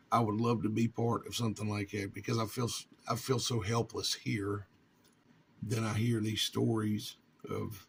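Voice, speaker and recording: A man from North Carolina, talking informally to his viewers in a halting, earnest way with long pauses. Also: fairly low pitch, a little hiss or hum. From North Carolina, United States. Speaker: vlogger and amateur radio hobbyist.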